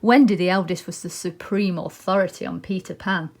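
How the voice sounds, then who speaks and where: A woman's voice: lowish voice, fairly quick. A woman narrating a children's storybook expressively. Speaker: storybook reader.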